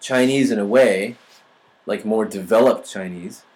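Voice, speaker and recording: A man's voice: fairly low pitch, unhurried, a little mellow, low-quality recording. A man in casual conversation, musing and wondering aloud. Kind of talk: casual chat.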